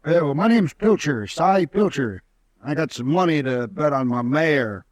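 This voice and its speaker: Guy doing a rough old frontier brawler type, coarse drawl, dry old radio. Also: fairly high pitch, expressive, moderate pace, no echo, close to an everyday voice. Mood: belligerent. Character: rough Civil War veteran horse bettor, belligerent. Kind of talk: 1950s radio drama acting.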